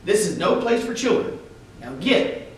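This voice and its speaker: Harsh policeman played by a man, loud and bossy, barking orders, storybook reading, far-off mic, hollow room. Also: high-pitched, big pitch swings, normal speed, pitched above the performer's own voice. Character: harsh grown male policeman, gruff and bossy. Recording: echoey room, distant mic, faint hiss.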